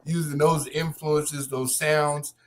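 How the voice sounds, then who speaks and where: A man's voice: fairly high pitch, level tone, slow pace. A man on a podcast panel in casual debate, arguing his point steadily and persistently.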